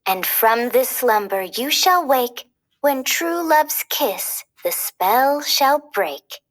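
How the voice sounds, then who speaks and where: A woman voicing a kindly fairy godmother in a storybook, speaking in a gentle, sweet singsong. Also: high-pitched, animated, slow, heavily acted. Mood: sweet, tender. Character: kindly good fairy godmother blessing a baby princess.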